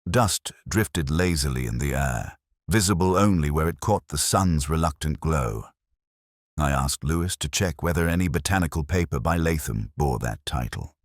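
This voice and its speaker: Very deep-voiced man narrating a mystery. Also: animated, moderate pace, no echo, studio quality. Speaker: narrator of a detective story. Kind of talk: first-person scripted literary mystery narration.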